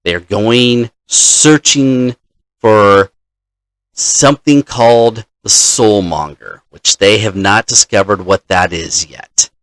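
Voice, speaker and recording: A man in the role of dungeon master, casually telling a story from his game session in a halting, deliberate manner. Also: on the low side, a bit bright, no echo, low-quality recording.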